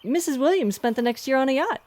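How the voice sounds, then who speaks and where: A woman's voice: sits high, expressive, fast, darkish tone. An actress playing a fussy, genteel middle-aged suburban mother in an audio drama, gushing and mannered. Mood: gracious, fussy. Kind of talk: scripted audio drama acting.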